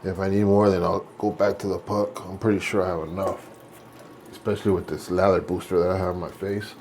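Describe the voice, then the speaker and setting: A man's voice: low-pitched, little pitch movement, fairly quick, darkish tone, a little hiss or hum. Laid-back man in his late forties, rambling. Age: 40s. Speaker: vlogger. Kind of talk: casual chat while shaving.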